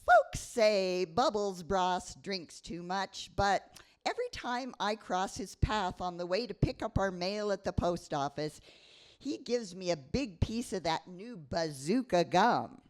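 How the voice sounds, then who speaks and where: Woman reading as a bossy, slow-talking small-town girl. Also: medium pitch, sing-song. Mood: matter-of-fact storytelling. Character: bossy, bookish nine-year-old small-town girl narrator. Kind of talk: live dramatic story reading.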